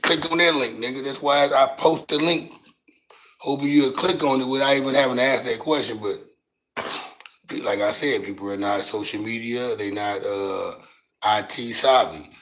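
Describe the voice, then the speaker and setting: A raspy-voiced man, hosting a casual livestream and talking loose and profane into a phone mic from his couch at home. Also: mid-range voice, steady tone, normal speed, fairly bright tone, poor audio. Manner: loose, profane, drawling street talk. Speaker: livestream host.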